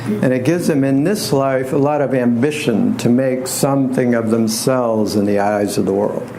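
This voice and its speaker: A soft-voiced man giving an informal astrology lecture to a live group, halting and wryly humorous, with pauses and repeats. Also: medium pitch, creaky, slight background noise, rough recording. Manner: wry, self-deprecating humor.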